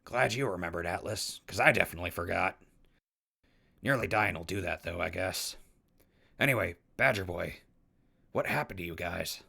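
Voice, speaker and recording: Man as a low, gravelly, wry wasteland ghoul. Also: normal speed, long pauses, sharp tone, full character voice. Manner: dry, casual, sardonic. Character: wry, easygoing, irreverent ghoul wanderer narrating his journey. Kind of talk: post-apocalyptic audio drama acting.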